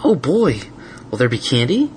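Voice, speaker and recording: A man voicing an excitable, whiny ten-year-old male baby dragon in a fan fiction story, pleading and indignant. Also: mid-range voice, normal speed, a little hiss or hum, pitched above the performer's own voice. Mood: excited, whiny. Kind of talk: fan fiction audiobook character voice.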